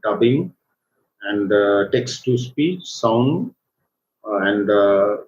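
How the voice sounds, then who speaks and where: A man with an Indian accent gives an informal live software tutorial as an online instructor, speaking in a halting, hesitant way. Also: on the low side, lively intonation. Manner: halting, hesitant delivery with repeated check words. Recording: roomy echo, dull lo-fi microphone, faint noise.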